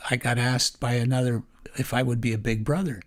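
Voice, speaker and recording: A man's voice: mid-range voice, fairly even intonation, a little fast. Older man telling stories, rambling. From Canada. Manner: rambling, warm, with frequent restarts and laughs. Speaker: podcast guest, retired teacher. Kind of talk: casual storytelling interview.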